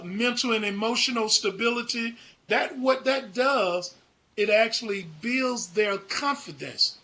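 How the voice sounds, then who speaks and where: High-pitched, bright-voiced man being interviewed. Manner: halting, repeats words. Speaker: foundation founder, guest. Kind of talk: earnest interview talk.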